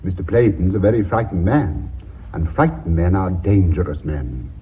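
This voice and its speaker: Raspy, sardonic boardroom cynic. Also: male voice, deep voice, normal speed. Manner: deadpan, cutting, superior. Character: dry, sardonic, supercilious company board member. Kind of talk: radio drama acting. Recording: thin, narrow-band radio recording.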